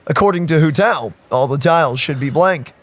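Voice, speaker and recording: A woman giving casual, expressive let's play commentary, exclaiming and reading game text aloud. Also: sits low, moderate pace. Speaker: gaming video co-host. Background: game music.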